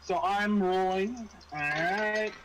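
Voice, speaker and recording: A man with a somewhat high voice acts as game master for a tabletop horror game. He narrates off the cuff, stammering and feeling for words. Clear noise runs through the room recording. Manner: halting, stammering, searches for words.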